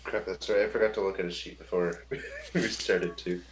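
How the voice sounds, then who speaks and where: A man's voice: low voice, fairly even intonation, moderate pace. A man running a tabletop role-playing game as game master, talking casually and laughing easily with his players. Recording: faint background noise.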